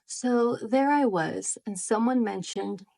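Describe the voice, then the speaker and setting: A woman's voice: a little high, slow, creaky, a little mellow, poor audio. A woman talking to her viewers in a friendly, explanatory way and often asking rhetorical questions. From United States. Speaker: vlogger. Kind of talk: scripted talk to camera.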